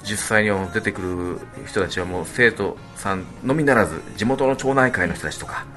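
A man's voice: fairly low pitch, normal speed, a bit bright. A man explaining things in conversational radio talk, polite, breaking his phrases up with frequent small interjections. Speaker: radio show co-host, band leader. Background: background music.

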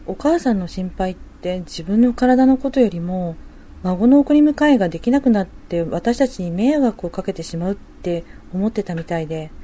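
A woman playing a working young mother and wife in a radio drama, talking softly and conversationally as she thinks aloud. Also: medium pitch, animated, normal speed, natural voice. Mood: concerned. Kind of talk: amateur radio drama dialogue.

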